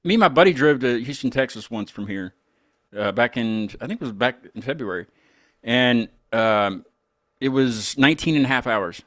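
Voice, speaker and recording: A man's voice: medium pitch, animated, moderate pace, fairly bright tone, dry recording, studio quality. An American man hosting a casual music chat, rambling informally as he talks. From United States. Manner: rambling, informal, mild swearing. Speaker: interviewer, music show host.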